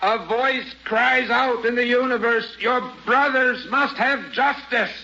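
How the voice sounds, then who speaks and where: A very high-pitched old prophet of radio drama, crazed and fervent. He proclaims slowly, with a gravelly creak, as if leading a whole people home. The old broadcast sounds dull and noisy. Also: fairly even intonation, pushed and bright, full character voice. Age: older. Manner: slow, declamatory, biblical proclaiming.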